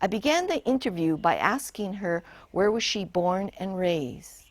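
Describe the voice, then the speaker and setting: A woman hosting an interview show, reading a measured introduction and then prompting her guest with frequent affirmations. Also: medium pitch, animated, no room sound. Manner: warm, encouraging listener with frequent affirmations. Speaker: podcast host and interviewer.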